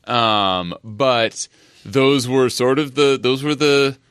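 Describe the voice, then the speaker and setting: A man's voice: medium pitch, steady tone, takes it slowly, fairly bright tone, clear, resonant tone, no room sound, studio quality. A man rambling and teasing in a casual comics podcast chat. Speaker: podcast co-host.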